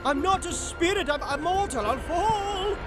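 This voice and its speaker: An actor playing a nervous, querulous old miser in a radio drama, stammering, repeating himself and pleading. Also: male voice, very high-pitched, expressive, measured pace, over music. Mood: frightened. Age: older.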